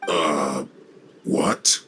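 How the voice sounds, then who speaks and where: A man playing a gruff, dismissive camp guard in a video game, curt and irritated. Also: extremely low pitch, steady tone, very slow, bright, forceful tone, a little hiss or hum, exaggerated voice. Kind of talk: video game character acting.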